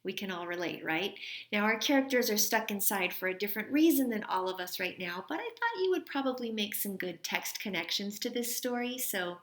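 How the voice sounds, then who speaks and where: A woman reading a children's story aloud expressively and deliberately, as a teacher speaking to young listeners. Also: mid-range voice, expressive.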